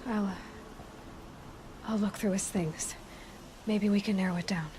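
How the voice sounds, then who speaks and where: An actress playing a determined, curious young hunter in a video game, thoughtful and often murmuring to herself. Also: female voice, medium pitch, steady tone, unhurried, darkish tone, a little hiss or hum, lightly acted. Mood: curious, pensive.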